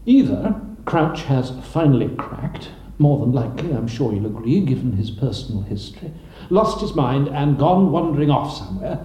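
Narrator playing a mellow, blustering older government minister. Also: mid-range voice, big pitch swings, normal speed, roomy sound, a little hiss or hum, natural voice, low-quality recording.

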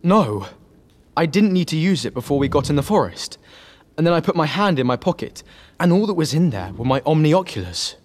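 A man's voice: a little high, very animated, brisk. A man playing an earnest, unassuming teenage wizard in an audiobook drama, speaking plainly and a little hesitantly. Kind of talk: full-cast audiobook drama.

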